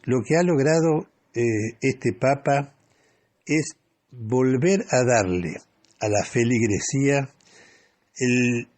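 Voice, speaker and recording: Male radio commentator, Rioplatense accent, lowish husky voice, deliberate and opinionated editorial delivery. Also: darkish tone. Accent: Rioplatense Argentine. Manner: opinionated, deliberate, with emphatic repetition and rhetorical questions.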